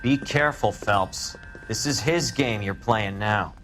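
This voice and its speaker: An actor playing a calm, analytical police forensics man in a video game, speaking matter-of-factly. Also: fairly low pitch, measured pace, a little hiss or hum. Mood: cautioning. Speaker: police forensic technician character. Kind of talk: dramatic video game acting.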